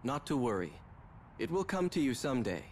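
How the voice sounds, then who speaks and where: A man voices a kind companion from a video game. His medium-pitched voice is gentle and encouraging. Faint noise sits behind the dialogue. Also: big pitch swings, a little fast, close to an everyday voice. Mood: reassuring. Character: kind, reassuring male companion. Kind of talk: video game cutscene acting.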